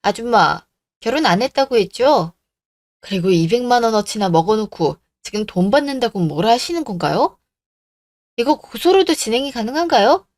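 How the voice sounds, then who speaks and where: A woman's voice: on the high side, animated, moderate pace, darkish tone, no echo, studio quality, lightly acted. Woman acting bold, defiant young woman. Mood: indignant. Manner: assertive, cutting, sarcastic. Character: bold, defiant young bride-to-be, plucky daughter. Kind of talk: radio drama story acting.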